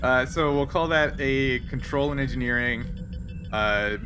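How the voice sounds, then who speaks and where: A man's voice: medium pitch, a little slow. A man acting as game master, narrating a tabletop game casually with a joking, teasing manner. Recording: clearly noisy background hum.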